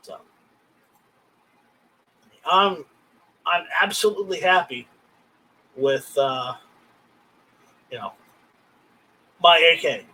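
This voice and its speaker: Male livestream host, slightly high-pitched voice, unscripted and rambling, halting delivery with repeated words. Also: animated, sharp tone, rough recording. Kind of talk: casual unscripted chat about gear.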